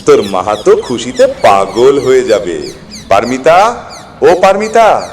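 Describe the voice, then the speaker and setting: A man playing a gentle, sorrowful old farmer father in a moral story, speaking expressively and with sighs. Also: medium pitch, slight background noise. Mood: excited. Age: older. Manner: slow, sighing, expressive. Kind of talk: dramatized moral story voice acting. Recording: long hall-like reverb.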